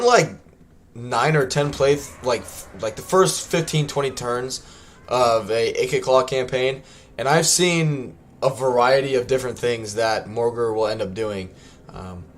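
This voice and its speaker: A young man with a scratchy, worn-out voice talks through his game live. He rambles casually, stopping and restarting often and filling gaps with ums. His pitch sits in an ordinary middle range. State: scratchy tired voice. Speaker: streamer. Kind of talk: casual gameplay livestream chat.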